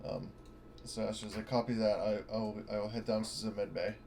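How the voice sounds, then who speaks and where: A man's voice: on the low side, level tone, brisk, creaky, noisy background. A man playing a tabletop game over a voice call, rambling with many fillers and bursts of laughter. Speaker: player.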